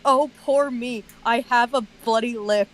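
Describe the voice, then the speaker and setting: A woman with a fairly high voice joins in a casual group commentary. She is sardonic and blunt, and she swears freely as she picks things apart. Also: steady tone, measured pace. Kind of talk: casual chat mocking a story.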